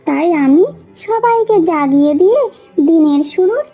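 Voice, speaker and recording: A woman voicing a polite, steadfast mother hen in a cartoon story, reasoning calmly. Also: extremely high pitch, normal speed, faint music behind. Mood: cheerful.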